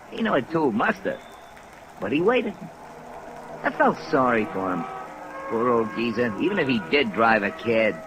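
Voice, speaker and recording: A man's voice: medium pitch, bright, forceful tone, poor audio. A man playing a breezy, folksy American witness in a 1950s radio drama, talking in slang. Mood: pitying. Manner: folksy, colloquial. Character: breezy, slangy male witness with some pity. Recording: old radio recording with faint rainy hiss.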